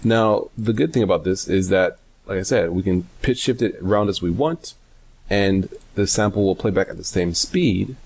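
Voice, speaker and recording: A man giving a casual software tutorial, hesitant and informal as he thinks aloud. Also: low-pitched, moderate pace, vocal fry, a little hiss or hum. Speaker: tutorial host.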